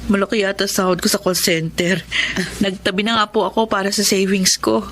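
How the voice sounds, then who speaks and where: An actress playing a young working single mother who speaks sincerely and gently. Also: mid-range voice, level tone, brisk, slight background noise, close to an everyday voice. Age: young adult. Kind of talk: Tagalog radio-style drama acting.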